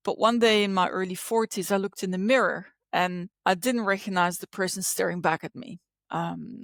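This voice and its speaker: A woman's voice: lowish voice, creaky, no room sound. Woman from Belgium, forties, podcast guest, reflective, hesitant restarts, dry close sound. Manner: thoughtful, with many ums and restarts. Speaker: podcast guest, psychologist and coach. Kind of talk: conversational interview.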